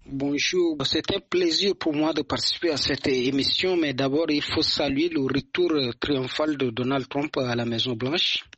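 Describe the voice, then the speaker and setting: Man from Chad, husky voice, dry dull sound, measured, hesitant fillers, radio commentary. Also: fairly high pitch, a bit bright, no room sound. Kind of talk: testimony on a radio program.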